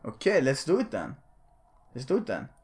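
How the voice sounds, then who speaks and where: A man's voice: mid-range voice, moderate pace, slightly rough. A man hosting a soccer podcast, chatting casually and repeating phrases, often calling people "man". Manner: relaxed, repeats himself, says "man" a lot. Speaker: podcast host.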